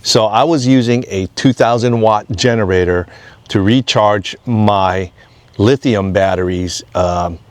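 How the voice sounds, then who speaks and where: A man's voice: lowish voice, measured pace, clean tone, no room sound. An American man from Hawaii giving a casual, unscripted homesteading update in a plain-spoken, rambling way. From Hawaii, United States. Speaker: off-grid homesteading vlogger.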